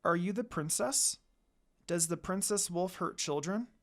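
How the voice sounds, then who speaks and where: A woman's voice: fairly low pitch, a little slow. A woman reading the part of a curious, timid little orphan girl in a story, polite and childlike.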